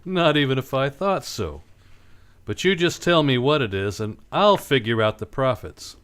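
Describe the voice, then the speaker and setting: An actor playing a tough, cynical, impatient private detective in a 1940s-style radio drama, with a curt, sardonic delivery. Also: male voice, medium pitch, expressive, moderate pace, a bit bright, clear, resonant tone, natural voice. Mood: cool, sardonic.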